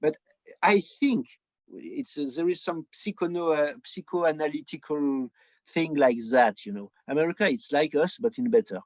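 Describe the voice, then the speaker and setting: A man's voice: fairly high pitch, no room sound. French man, dull dry recording. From France. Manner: halting, emphatic repetition in non-native English. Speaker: podcast guest, book editor. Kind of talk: conversational opinion.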